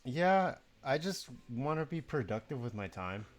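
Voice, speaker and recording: A man's voice: mid-range voice, sing-song, a little slow, soft background music. A young man from Mexico chatting casually on a live stream, sleepy, rambling and self-deprecating, swearing now and then.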